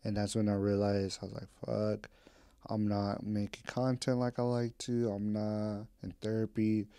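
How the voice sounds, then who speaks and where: A man's voice: on the low side, flat delivery, unhurried, mellow, clean tone, no room sound. Laid-back young man in his twenties. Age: 20s. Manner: rambling, candid, drifts between topics; casual slang and swearing. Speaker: vlogger. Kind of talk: casual catch-up podcast talk. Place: home.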